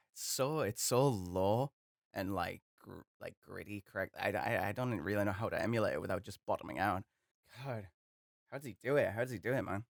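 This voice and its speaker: Young guy rambling about his own voice takes, gravelly, dry sound. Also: on the low side, brisk, no room sound. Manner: hesitant, self-deprecating, chatty. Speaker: hobby voice actor. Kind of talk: casual commentary.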